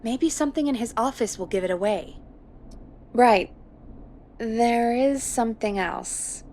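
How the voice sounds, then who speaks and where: An actress playing a frank, practical radio producer in a video game, talking in a matter-of-fact, slightly wry way. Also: female voice, on the high side, unhurried, darkish tone, a little hiss or hum. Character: practical, frank woman radio producer in 1980s small town. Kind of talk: video game voice acting, conversational.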